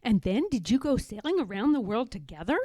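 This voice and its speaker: A woman plays a young storybook bear cub, a dreamy little boy. His voice is high and lilting, earnest and eager, with big swoops in pitch. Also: very animated, a little fast, warm tone, dry recording, clean studio sound. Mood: curious. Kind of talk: children's storybook character voice.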